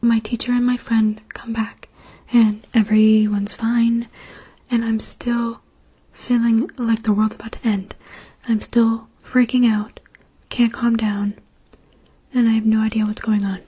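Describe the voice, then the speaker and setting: A woman whispers her way through a long personal story, her breathy voice sitting a little high. She talks on a narrow, flat line, with a mellow tone. Her delivery stays casual and chatty, full of "like" and "um.". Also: a little slow. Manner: chatty, lots of "like" and "um".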